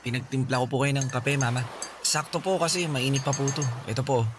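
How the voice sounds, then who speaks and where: A man's voice: medium pitch, fairly quick, background noise, natural voice. A male actor playing a worried, innocent schoolboy in a radio drama, speaking politely and hesitantly. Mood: polite, gentle. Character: worried, innocent schoolboy, older brother of sick child. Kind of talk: Tagalog radio drama acting.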